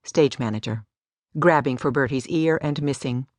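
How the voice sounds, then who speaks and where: A woman's voice: very deep voice, moderate pace, dry recording. A woman giving a scripted story narration as an audiobook narrator. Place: dry studio.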